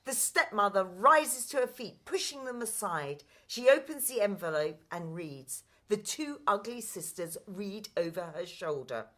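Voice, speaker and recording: A woman's voice: mid-range voice, lively intonation, measured pace, hardly any pauses, a bit bright. A woman reading scripted stage directions as the narrator of a radio play.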